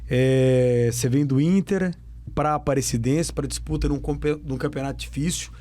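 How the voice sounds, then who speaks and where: Sports interviewer guy, mid pitch, dry close sound, repeats his questions for punch. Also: normal speed, warm tone, no echo. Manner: emphatic, repeats questions for effect.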